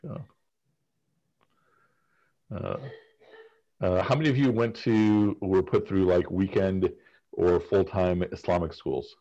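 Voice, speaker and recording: Deep husky male professor on a call. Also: fairly even intonation, takes it slowly, pauses often, warm tone, dull audio. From United States. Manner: conversational, prompting students with questions. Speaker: professor leading a class discussion. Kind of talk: casual seminar discussion over a video call. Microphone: video call.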